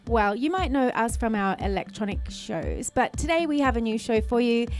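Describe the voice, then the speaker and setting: A woman's voice: fairly high pitch, expressive, normal speed, soft background music. An Australian woman co-hosting a podcast, giving a chatty, playful scripted introduction. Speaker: podcast co-host, performer.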